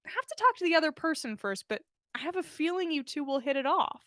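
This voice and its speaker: A confident young woman from a storybook, played by a woman reader, brisk and teasing with her friend. Her high voice swings widely in pitch and sounds dry and close. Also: no echo. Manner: lively, direct, teasing.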